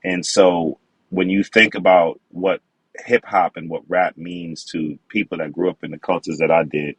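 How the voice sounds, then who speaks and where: Deep-voiced man, relaxed interview talk, dry close sound. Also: normal speed, slightly rough, no room sound, poor audio. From the Bronx, New York. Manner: relaxed, conversational, slang-flavored. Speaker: podcast guest, wine expert.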